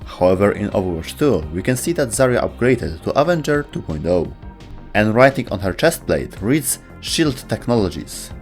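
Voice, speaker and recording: A man's voice: fairly low pitch, sing-song, normal speed. A man narrating a scripted video essay about game lore. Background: music.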